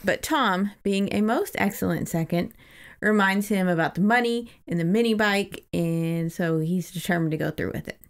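A woman's voice: mid-range voice, normal speed. A woman co-host chatting casually about a book and reading bits aloud, amused and enthusiastic. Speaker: podcast co-host. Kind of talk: casual book discussion with read-aloud passages.

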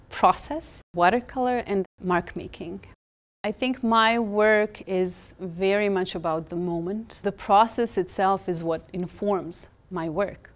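A woman from Croatia speaks English with a Croatian accent, her voice clear and resonant at an ordinary pitch. She talks reflectively, with many fillers and soft pauses. She is an artist being interviewed in a gallery. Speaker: visual artist. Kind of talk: reflective interview talk about her art. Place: gallery, indoors, in front of artworks.

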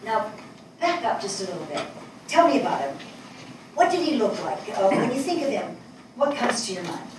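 An older woman playing a gentle, encouraging writer in a staged play reading, reassuring and prompting with questions. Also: a little high, big pitch swings, normal speed, long pauses. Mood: gently probing. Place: small theatre stage before a seated audience. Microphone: distant camera microphone. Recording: very echoey hall, distant microphone, faint noise.

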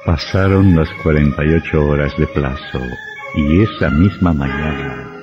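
An actor playing an ominous storyteller, narrating an old radio horror drama. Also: male voice, extremely low pitch, a little slow, music in the background. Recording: muffled old radio recording, long reverb.

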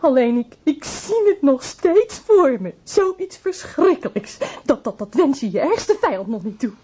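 An actress playing a distraught, timid and deferential housekeeper in a Dutch radio drama, speaking haltingly. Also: female voice, sits high, big pitch swings, mellow. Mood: tearful, shaken.

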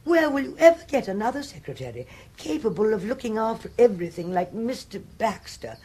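An actress playing an imperious, scolding aristocrat with an upper-class English accent and a husky voice, commanding and formal in a radio comedy. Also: female voice, on the high side, big pitch swings, a little fast, darkish tone. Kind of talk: radio comedy drama acting.

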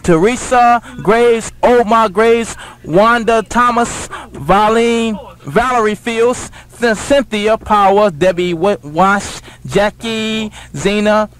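A man's voice: sits high, slow, few pauses, fairly bright tone. A man reads out dedications on a hip hop radio show. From United States. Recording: 1980s radio aircheck, music behind.

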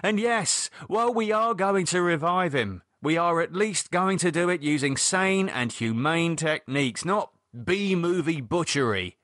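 A man's voice: a little high, expressive, unhurried, few pauses, a bit bright, dry recording, studio quality, voice pushed up. A man playing an exasperated, sarcastic British sceptic. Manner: dismissive, mocking, exasperated, colloquial. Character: irritated, sarcastic man, a practical no-nonsense sceptic. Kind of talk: audiobook character dialogue.

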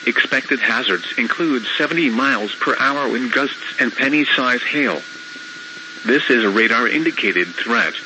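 A synthetic male announcer reading a formal, measured severe weather bulletin over the radio. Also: medium pitch. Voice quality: synthetic text-to-speech voice. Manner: formal, measured, flatly procedural. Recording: noisy weather radio broadcast recording.

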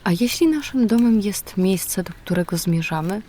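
Woman acting female draenei, very warm mellow voice, mid-pitched, gentle, thoughtful, hopeful, fantasy audio drama. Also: expressive, normal speed, slight background noise, close to an everyday voice. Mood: tentative, hopeful.

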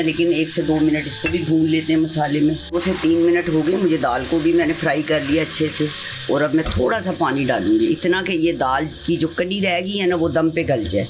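A woman's voice: low-pitched, heavy background noise. Motherly woman explaining a recipe. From Pakistan. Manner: homely, motherly, explains while she works. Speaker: home cooking channel host. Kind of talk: step-by-step cooking tutorial narration. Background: background music.

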